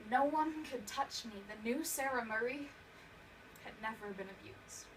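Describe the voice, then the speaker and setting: A woman acting a haunted young survivor of abuse in a dramatic stage monologue, halting and emotional, repeating words for emphasis. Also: a little high, natural voice. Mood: guarded pride. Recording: distant mic, clearly noisy room recording.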